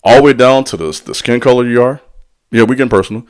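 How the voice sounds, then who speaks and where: A man's voice: medium pitch, fast. A man giving a motivational spiritual talk in a preacherly way. He speaks directly to the listener in slang and drops call-and-response asides between points. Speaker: spiritual teacher.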